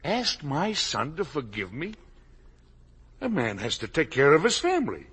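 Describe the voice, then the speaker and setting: A man's voice: on the high side, wildly swooping pitch, a little slow, fairly bright tone, husky, heavily acted. An actor playing a guilt-ridden older father and factory owner in a 1950s radio drama, defensive, self-justifying and pleading. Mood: defiant, indignant. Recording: vintage 1950 radio broadcast.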